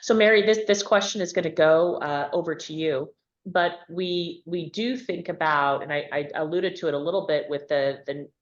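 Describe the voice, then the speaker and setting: A woman's voice: low voice, moderate pace, muffled recording. A woman moderating a webinar panel over a video call, asking questions in a loose, conversational way with fillers.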